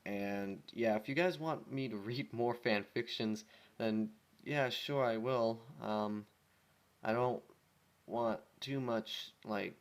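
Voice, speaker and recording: A man's voice: fairly low pitch, takes it slowly. A young man reading fan fiction casually, with offhand, self-deprecating comments and stumbles over his words. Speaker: amateur fan fiction reader.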